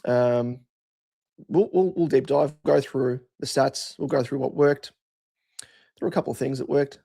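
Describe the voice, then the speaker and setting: Croaky Australian man talking football. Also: medium pitch, a little mellow. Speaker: host of a football fan show. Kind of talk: casual post-match fan commentary.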